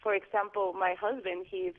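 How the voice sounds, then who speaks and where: A woman from Guatemala calling in to a show on her home phone, talking earnestly and haltingly, with frequent restarts. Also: mid-range voice, level tone. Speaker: caller. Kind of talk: call-in radio chat. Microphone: home landline phone. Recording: phone line.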